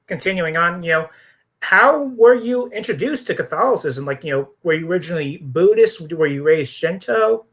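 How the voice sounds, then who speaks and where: A man's voice: on the high side, expressive, normal speed, fairly bright tone. A man co-hosting an interview, asking questions casually with many fillers like "like" and "you know". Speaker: co-host.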